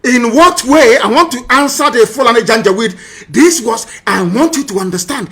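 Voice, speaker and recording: A man's voice: very high voice, lively intonation, normal speed, bright, forceful tone. A man with a Nigerian accent delivering an impassioned political monologue, emphatic and scornful, piling up rhetorical questions and repeating phrases. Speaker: political broadcaster. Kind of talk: impassioned political monologue commenting on archive audio.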